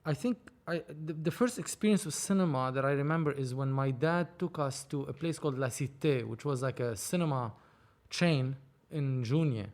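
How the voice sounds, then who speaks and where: A man, a podcast guest telling his story in a casual, animated, rambling way with many restarts. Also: mid-range voice, normal speed, few pauses. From Lebanon. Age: 30s. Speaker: film producer, podcast guest.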